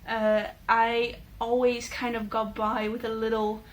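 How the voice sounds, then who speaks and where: A young Dutch woman, a native Dutch speaker, chatting casually in a vlog with many fillers and thoughtful pauses. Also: a little high, little pitch movement, a little hiss or hum. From Netherlands. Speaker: book channel host. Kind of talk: casual talking-head vlog.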